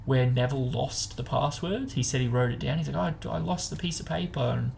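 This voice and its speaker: Casual man with a gravelly, creaky voice. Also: mid-range voice, brisk, a little mellow, a little hiss or hum. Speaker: host of a book discussion show. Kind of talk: casual chat, book discussion.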